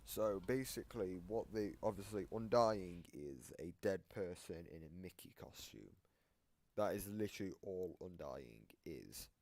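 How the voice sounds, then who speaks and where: A man's voice: sits low, expressive, unhurried, a little mellow. A man giving casual explanatory commentary as he presents the lore of a game.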